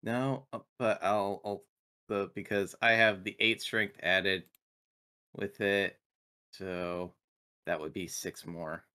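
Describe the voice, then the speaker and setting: A man's voice: low voice, steady tone, takes it slowly, pushed and bright. A man chatting casually as a player in a tabletop roleplaying game.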